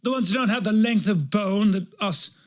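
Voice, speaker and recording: A man's voice: high voice, moderate pace, voice pushed up, lightly acted. A man voicing a haughty, ranting princely orator in a pompous, declamatory comedy bit.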